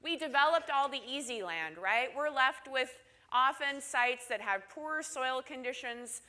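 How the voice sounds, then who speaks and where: A woman's voice: sits high, lively intonation, a little slow, sharp tone. A woman from Minnesota with a Minnesotan accent, giving a conference presentation in a chatty way, often asking the audience "right?". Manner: chatty, asks audience questions with tag "right?".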